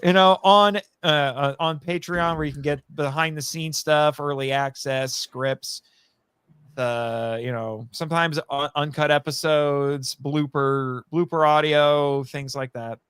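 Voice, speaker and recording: A man with a fairly high, smooth voice chats casually as a podcast guest. He rambles through plugs for his own work in a joking, self-deprecating way, recorded close and dry. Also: fairly even intonation, a little slow, a bit bright, no echo. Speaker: podcast guest, writer and audio drama voice actor. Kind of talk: casual chat, plugging own projects.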